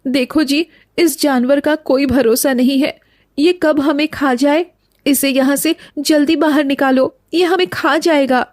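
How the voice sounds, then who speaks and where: A woman's voice: high-pitched, steady tone, unhurried, darkish tone, no echo, clean studio sound. A woman playing a fearful, scolding village wife in a cartoon story, speaking insistently. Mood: alarmed, scolding. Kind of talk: scripted Hindi cartoon story dialogue. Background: background music.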